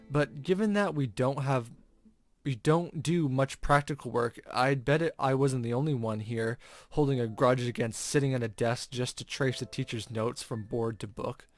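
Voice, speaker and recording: A man hosting a gaming video, reading a visual novel aloud expressively with informal commentary. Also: medium pitch.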